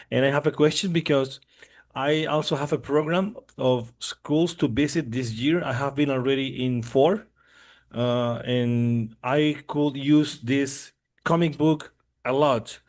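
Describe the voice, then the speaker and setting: A man's voice: medium pitch, darkish tone. A man on a video call politely asking a question, at times searching for words. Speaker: audience member asking a question.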